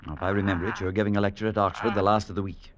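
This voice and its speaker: An actor playing a steady, practical clergyman friend, firm and reassuring, in 1940s radio acting. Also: on the low side, very fast, highs cut off, natural voice. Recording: old radio play with music underneath.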